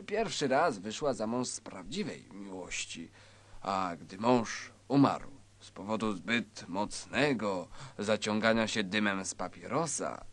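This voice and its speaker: Slow mock-dramatic male narrator. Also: lowish voice, a bit bright, no room sound. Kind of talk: theatrical scripted narration of a spoof crime story.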